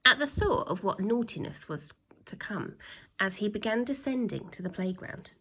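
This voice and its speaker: A woman reading a children's story aloud with dramatic emphasis, pausing to put questions to her young listeners. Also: mid-range voice, lively intonation, pushed and bright, husky, rough recording. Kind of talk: expressive storytime narration with asides to children.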